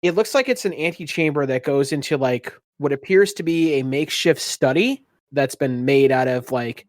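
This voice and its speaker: Man, game master, casual improvised narration, fairly high voice, very dry sound. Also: moderate pace, no room sound.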